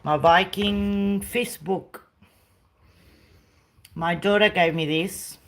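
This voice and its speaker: Slow-talking chatty woman at home. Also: on the low side, fairly even intonation. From South America. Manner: chatty, talks sweetly to her dog. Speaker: live streamer. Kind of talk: casual home live stream tour. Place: rented house, indoors.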